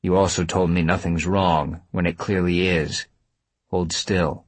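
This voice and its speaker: A man's voice: sits low, little pitch movement, measured pace, husky, dry recording. A man narrating a story, playing a patient but stubborn man who speaks directly and steadily. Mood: calm, insistent. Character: calm, stubborn, patient man pressing for answers.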